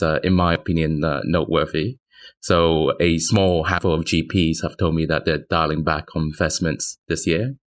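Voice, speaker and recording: A man with a deep, low-pitched voice speaks as a podcast guest. He gives measured, analytical answers in the way of a business reporter. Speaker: podcast guest, senior private equity reporter.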